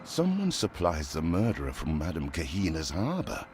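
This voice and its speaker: An actor playing an intense, dramatic companion on a manhunt in a video game. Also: male voice, low voice, huge pitch swings, normal speed. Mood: suspicious. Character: intense, animated male companion hunting a killer. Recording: loud music over the voice.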